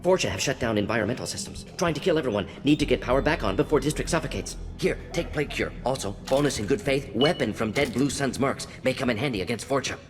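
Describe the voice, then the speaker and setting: A man voicing a brisk, brainy, restless alien scientist in a video game, talking in clipped fragments as if thinking aloud. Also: mid-range voice, animated, big-room sound, music in the background, lightly acted. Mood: brisk, focused. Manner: clipped telegraphic sentences, muttering deductions aloud.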